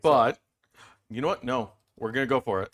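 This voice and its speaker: A man playing a tabletop wargame, casually talking through his moves and changing his mind out loud. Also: medium pitch. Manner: quick agreeing replies, second-guesses his moves aloud.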